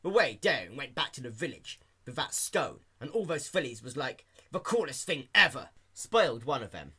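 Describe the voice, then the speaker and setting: A man narrating a story as an amateur fan fiction reader, in an animated scripted style. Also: mid-range voice, normal speed, husky.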